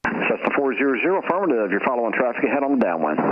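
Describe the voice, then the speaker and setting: A man working as an air traffic controller, giving clipped clearances over aviation radio. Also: mid-range voice, very animated, rapid-fire. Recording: muffled, rough aircraft radio transmission.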